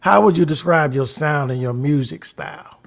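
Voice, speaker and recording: A man hosting a podcast interview, easygoing and quick to affirm his guest. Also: fairly high pitch, gravelly. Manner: easygoing, with frequent affirmations and laughs. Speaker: podcast host.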